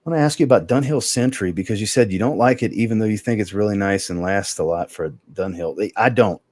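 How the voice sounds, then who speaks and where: A man hosting a casual livestream podcast chat over a video call, relaxed and reading out viewer comments as he goes. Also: fairly low pitch, quick pace, no echo. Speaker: podcast host.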